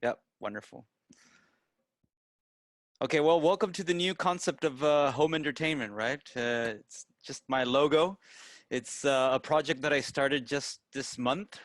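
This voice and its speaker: A man's voice: mid-range voice, unhurried, fairly bright tone, no echo, studio quality. A man from Peru raised in the United States, with an American accent, gives an informal online presentation and often checks in with "right?". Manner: frequently tags statements with "right?". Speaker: guest presenter, digital marketer.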